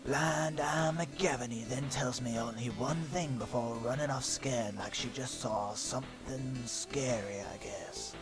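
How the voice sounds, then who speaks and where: A man voicing a self-important, dim hardboiled private eye, narrating a deadpan film noir parody in a melodramatic way. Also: medium pitch, measured pace, few pauses, lightly acted. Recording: music behind the voice. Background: background music.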